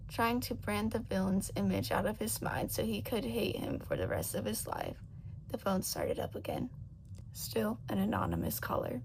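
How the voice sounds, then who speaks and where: Woman, story narrator, lively swooping pitch, creaky fry, faint background noise. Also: rough recording. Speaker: audiobook narrator of fan fiction. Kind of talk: dramatic scripted narration.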